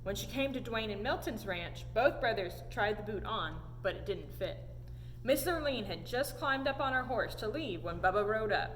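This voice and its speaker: Animated woman reading aloud in an echoey hall. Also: mid-range voice, moderate pace. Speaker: story time reader. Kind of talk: animated storybook read-aloud. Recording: echoey hall, audible background noise.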